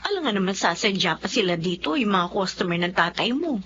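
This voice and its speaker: A woman acting a doting, worried Filipina mother in a television drama. She talks apologetically in a medium-pitched voice. The recording is muffled, with faint background hum. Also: lively intonation, brisk, a bit bright, close to an everyday voice. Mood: apologetic, practical. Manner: apologetic, fretful.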